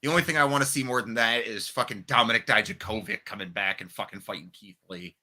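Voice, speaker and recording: A man's voice: lowish voice, fast, crowd noise. A man co-hosting a podcast in casual, profane banter, throwing in crude, brash remarks. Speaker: wrestling podcast co-host.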